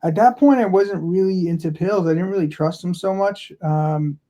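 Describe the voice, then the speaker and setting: A podcast guest from Florida tells his story casually, in a rambling way full of fillers and restarts. His man's voice sits a touch high, with a mellow, warm tone. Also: moderate pace.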